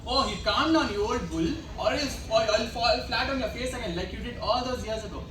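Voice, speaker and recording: A woman's voice: on the high side, fairly quick, natural voice. A woman playing a cheeky, insolent former pupil in an amateur comic stage play, brash and argumentative. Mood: mocking. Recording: noisy echoey stage, far from mic.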